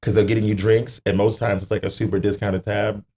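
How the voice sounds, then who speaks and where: A man's voice: lowish voice, flat delivery, a little fast, warm tone. A man guesting on a podcast in casual chat, emphatic and profane, often repeating his phrases for effect.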